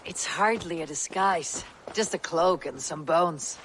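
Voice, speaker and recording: A man's voice: on the high side, measured pace, natural voice. A man acting a bold, good-humoured Viking warrior in a dramatic game cutscene. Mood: wry. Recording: clear outdoor ambience noise.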